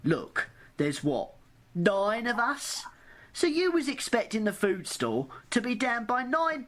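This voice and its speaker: Woman acting a sarcastic, hungry stranded passenger, very animated. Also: mid-range voice. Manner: sarcastic, argumentative. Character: cheeky hungry woman stranded on a train, mocking and grumbling. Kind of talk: amateur radio drama acting.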